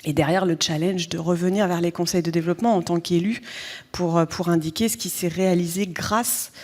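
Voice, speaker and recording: A woman, a local elected official, giving round-table remarks in a halting way with repeated words. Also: lowish voice, fairly even intonation, a little mellow.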